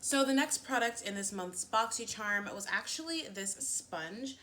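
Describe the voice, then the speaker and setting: A woman's voice: on the high side, lively intonation, measured pace, loud music behind the voice. A woman vlogging a casual get-ready-with-me chat, a little sniffly, full of fillers like "um" and "like". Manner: chatty, lots of fillers and "like". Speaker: beauty vlogger.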